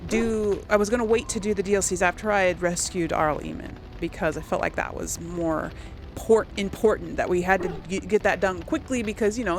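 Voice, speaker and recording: Creaky-voiced woman chatting through a game. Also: fairly low pitch, a little hiss or hum. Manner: rambling, thinking aloud. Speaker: host of a video game let's play. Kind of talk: casual gameplay commentary addressed to viewers.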